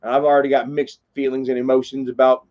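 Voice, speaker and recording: A man's voice: medium pitch, level tone, fast. A man in his thirties telling a rambling, profane story in casual livestream chat, full of fillers and repeats. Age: 30s. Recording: dull lo-fi stream audio.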